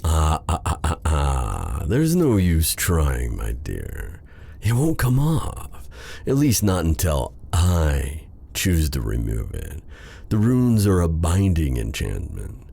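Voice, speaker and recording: Man acting a very deep, slow dark sorcerer. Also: wildly swooping pitch, creaky, breathy edge, full character voice. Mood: smug, soothing. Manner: theatrical, silky, taunting endearments. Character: suave, menacing dark male sorcerer, tender captor. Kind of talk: ASMR fantasy roleplay acting.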